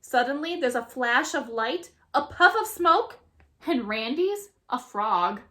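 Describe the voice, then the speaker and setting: A woman reading a children's story aloud as a teacher would, with expressive, dramatic narration. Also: sits high, takes it slowly.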